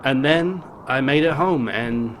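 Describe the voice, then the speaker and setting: A man's voice: mid-range voice, slow, noisy background, natural voice. A man playing a haunted, guilt-ridden middle-aged father narrating a horror story in a game. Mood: shaken, grim. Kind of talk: dramatic first-person story narration.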